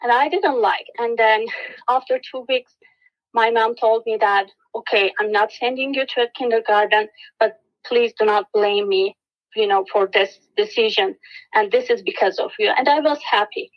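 A woman from Turkey with a Turkish accent, giving an earnest, steady interview answer with many fillers and restarts. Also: fairly high pitch, moderate pace, dull audio. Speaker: guest, education specialist.

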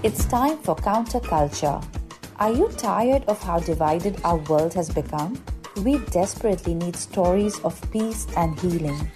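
A woman's voice: fairly low pitch, lively intonation, measured pace. Female intro voice, scripted and earnest, over a music bed. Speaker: show intro announcer.

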